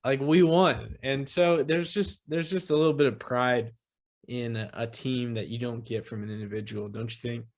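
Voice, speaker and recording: A man hosting a laid-back sports podcast. He rambles easily, with plenty of "you know" and "uh" between his thoughts. His voice sits at an ordinary mid pitch. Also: moderate pace, creaky. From Texas. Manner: relaxed, rambling, heavy on fillers. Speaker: podcast host. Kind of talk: casual sports chat.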